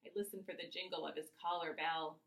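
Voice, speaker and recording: A woman reading a children's novel aloud as its author, with expressive first-person narration. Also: low-pitched, brisk. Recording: echoey room.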